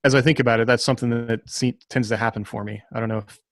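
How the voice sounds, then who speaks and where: A man's voice: mid-range voice, fairly even intonation, very fast, vocal fry. A man on a podcast panel chatting casually, thinking aloud in a tentative way. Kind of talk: casual group podcast chat.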